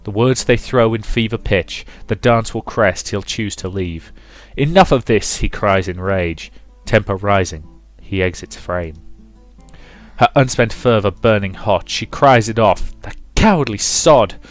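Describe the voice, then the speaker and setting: A man's voice: lowish voice, a little slow. A man hosting a let's play, reading a visual novel as dramatic, rhythmic narration with some commentary. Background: music.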